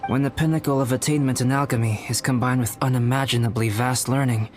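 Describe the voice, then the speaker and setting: An actor playing a calm, scholarly young alchemist in a video game, speaking in a measured, formal manner. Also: male voice, medium pitch, loud music behind the voice, lightly acted. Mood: grave, measured. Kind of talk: scripted video game dialogue.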